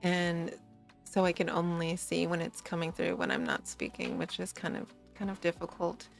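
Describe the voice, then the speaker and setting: A tired woman with a slightly raspy low voice, chatting in a sleepy, giggly, rambling way as a streamer on a late-night stream. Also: moderate pace, faint music behind. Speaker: VTuber streamer.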